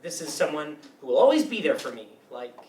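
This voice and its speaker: A man's voice: on the high side, very animated, fairly quick, darkish tone. Male, actor as bitter, self-deprecating grudge-holder in a comedy-drama reading. Distant, roomy, faint noise. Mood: wistful, confessional. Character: bitter, neurotic grown man nursing an old grudge. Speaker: stage actor. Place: meeting room used for a staged reading.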